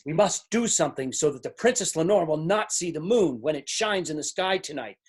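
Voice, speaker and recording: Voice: mid-range voice, animated, a little fast, a little hiss or hum, close to an everyday voice. An amateur reader playing an anxious, gloomy, demanding king in an emphatic storybook stage reading. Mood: exasperated. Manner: exasperated, emphatic, mournful.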